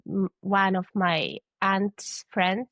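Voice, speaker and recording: A woman's voice: medium pitch, steady tone, takes it slowly, no echo, highs cut off. A young woman with a Polish accent, a guest in a casual interview, hesitant and searching for words in English. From Poland. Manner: hesitant, searching for words, frequent restarts and light laughs. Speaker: podcast guest, triathlete.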